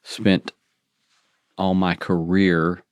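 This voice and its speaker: A middle-aged man who teaches theology, co-hosting a podcast and answering in a hesitant way with many pauses. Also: low voice, little pitch movement, dry recording. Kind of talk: conversational podcast chat.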